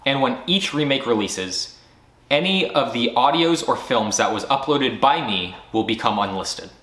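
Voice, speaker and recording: A man's voice: mid-range voice, expressive, moderate pace, fairly bright tone. A man who founded a studio gives a firm, earnest year-end recap, speaking directly to fans. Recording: roomy echo.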